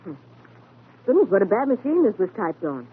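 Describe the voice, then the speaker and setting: An actress playing a pert, inquisitive young secretary in an old-time radio detective drama. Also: female voice, mid-range voice, sing-song, a little fast, slightly rough. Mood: pert, curious. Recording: narrow, tinny old radio broadcast.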